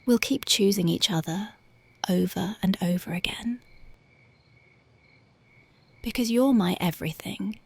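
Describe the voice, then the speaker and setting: A woman voicing a tender, dreamy young punk girlfriend in an ASMR roleplay, speaking softly and often slipping into whispers. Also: mid-range voice, measured pace, gravelly, pauses often, husky, no echo. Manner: soft, often drifting into whispers. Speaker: ASMR voice actress.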